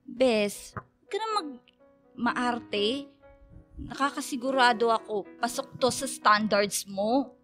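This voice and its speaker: A young Filipina-accented woman, the loyal, pushy best friend in a radio drama. Her voice sits high and she talks in a chatty, matchmaking way. Light music plays underneath. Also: takes it slowly, pauses often, a bit bright. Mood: excited, insistent.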